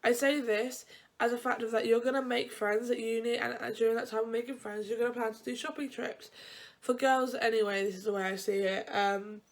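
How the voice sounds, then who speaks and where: A woman's voice: fairly high pitch, steady tone, a little fast. A young woman vlogger with a blocked-up nose, chatting in a rambling way and often correcting herself as she gives advice about university. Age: young adult. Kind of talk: casual advice vlog.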